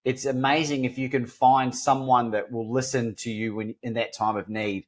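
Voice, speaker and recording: A man's voice: mid-range voice, level tone, normal speed. Middle-aged man, Kiwi-Australian accent, mid-range voice, earnest and self-mocking podcast guest. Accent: mixed New Zealand and Australian. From New Zealand. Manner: earnest, self-deprecating, frequent restarts. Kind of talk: candid storytelling interview.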